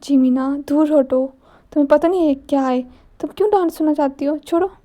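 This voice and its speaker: A woman's voice: sits high, fairly quick, mellow, natural voice. A woman voicing a firm, bossy older sister.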